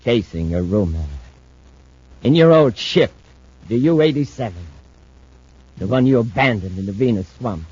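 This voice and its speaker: An actor playing a weakened, obsessed spacer in a 1950s radio drama, brooding and intense. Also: male voice, on the low side, expressive, moderate pace, long pauses, darkish tone, husky, dry recording. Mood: confiding, urgent. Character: thin, weakened, obsessed male spacer seeking his old partner. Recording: muffled old-time radio recording.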